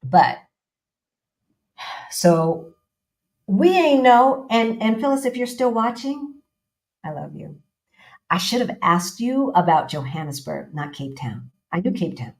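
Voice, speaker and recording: A woman's voice: mid-range voice, animated, slow pace, pauses often. A woman hosting a casual live-stream interview, chatty and repeating phrases, with loose casual slang. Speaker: host.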